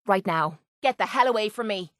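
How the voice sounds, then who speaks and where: An actress voicing a tough, guarded but loyal young Irish pit fighter in a video game, speaking bluntly and colloquially. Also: female voice, mid-range voice, expressive, brisk, a bit bright, dry recording, clean studio sound, lightly acted. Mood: angry, fed up. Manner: blunt, rough-edged, colloquial. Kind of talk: video game companion dialogue.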